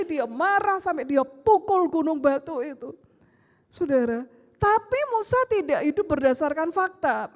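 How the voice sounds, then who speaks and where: Animated Surabaya-accented woman preaching. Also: very high voice. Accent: Surabaya Javanese Indonesian. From Surabaya, Indonesia. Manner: animated storytelling with rhetorical questions, acts out family dialogue. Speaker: pastor. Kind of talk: sermon. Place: church sanctuary. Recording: long church-hall reverb.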